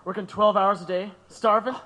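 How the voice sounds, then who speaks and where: A man's voice: high voice, steady tone, normal speed, bright, forceful tone. An actor playing a defiant, principled male labor activist in an audio drama, sounding indignant and sardonic. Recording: echoing reverberant room.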